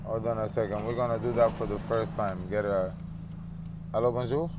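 A man in his thirties talking casually to the camera while he drives, musing slowly with lots of fillers. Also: lowish voice. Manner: relaxed, slow, drawn-out musing with many fillers. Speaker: dance show host. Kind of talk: casual driving vlog talk. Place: inside a car on a city street. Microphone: phone camera on the dashboard. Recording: road and engine noise.

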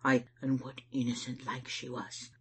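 A woman's voice: very deep voice, monotone, normal speed, fairly bright tone, breathy edge, voice pushed down, heavily acted. A woman voicing a proud, stubborn male church elder, stern and guilt-ridden, telling his tale emphatically in broad Scottish dialect. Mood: ashamed.

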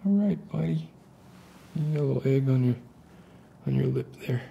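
A man in his forties playing an unstable post-apocalyptic survivor in an ASMR roleplay, coaxing softly in halting, rambling phrases. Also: mid-range voice, gravelly, highs cut off, slight background noise. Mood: weary, reassuring.

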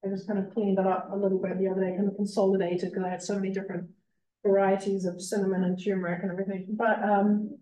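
A woman's voice: mid-range voice, little pitch movement, quick pace, dark tone. A woman presenting a casual slideshow talk in a library, chatty and full of fillers like um and you know. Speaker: library talk presenter on plant-based meal prep. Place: library meeting room. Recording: echoey room from far off.

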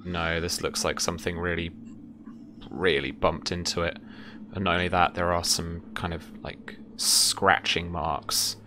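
A young man narrating a tabletop role-playing game as game master, pausing to search for his words. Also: low-pitched, level tone, background noise. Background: background music.

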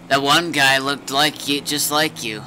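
A man's voice: mid-range voice, steady tone, unhurried, bright, forceful tone, slight background noise, rough recording. A man talking casually in video game roleplay, in short, slightly hesitant phrases. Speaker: gamer, roleplay companion.

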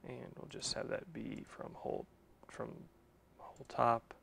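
A man's voice: medium pitch, little pitch movement, measured pace, vocal fry, a bit bright, a little hiss or hum. A man in his thirties walking through a shop job in a casual instructional way, thinking aloud and correcting himself as he goes, in a machine shop. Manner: thinks aloud while working, with self-corrections. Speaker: machine shop owner and video host.

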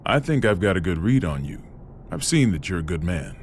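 An actor playing a genial, shrewd older head of state in an animated game, plainspoken and authoritative. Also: male voice, low-pitched, brisk, a little hiss or hum. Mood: shrewd, probing. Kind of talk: dubbed animated game cutscene acting.

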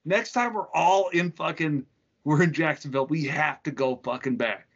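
A man's voice: a little high, normal speed, gravelly, dull audio. A man in his thirties co-hosting a podcast, bantering loosely with laughter and swearing over a video call from home. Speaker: podcast co-host. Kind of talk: casual profane chat.

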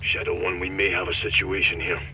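An actor playing a tense special forces soldier making terse radio reports from the ground. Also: male voice, low voice, flat delivery, a little fast, bright, forceful tone, lo-fi sound, slight background noise. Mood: controlled, terse. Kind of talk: terse military radio chatter.

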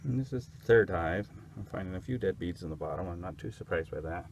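A man's voice: sits low, lively intonation, quick pace, creaky, slight background noise. A man casually narrating as he inspects his beehives outdoors, hesitant and unsure, thinking aloud. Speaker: beekeeper.

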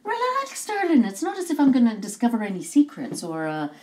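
A woman's voice: medium pitch, big pitch swings, warm tone. An older actress playing a gushing, theatrical palm reader in a film, who draws her words out dramatically. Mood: knowing, teasing. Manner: dramatic, calls people darling, drawn-out delivery. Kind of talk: film acting.

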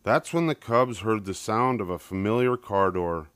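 An older man narrates a children's storybook. His voice sits low, and the recording is dry, with hardly any room around it. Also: expressive, moderate pace, fairly bright tone, no room sound. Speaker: storybook reader.

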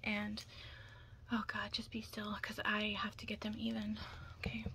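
A young woman doing an ASMR roleplay as a makeup stylist, speaking softly and breathily, often in a whisper, with reassuring asides. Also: mid-range voice, monotone, unhurried. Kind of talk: ASMR roleplay, close personal attention. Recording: close-up with clear background noise.

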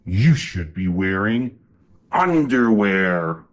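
A man's voice: on the low side, sing-song, slow pace, slight background noise. A man voicing a stern but loving papa bear in a storybook read-aloud, delivering firm, rhyming lines.

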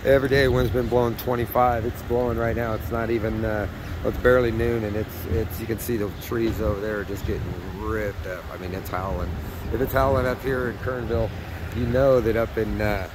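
A man with a fairly low voice gives a relaxed, rambling fishing update from a riverbank, filling his talk with "uh" and loose asides. Wind gusts and rushing water sit loudly behind him, along with a truck engine running nearby, all caught on a camera mic outdoors. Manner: rambling, easygoing, many fillers. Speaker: fishing report host. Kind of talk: casual outdoor fishing report. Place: outdoors by a river on a windy day. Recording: windy outdoor camera audio with engine noise.

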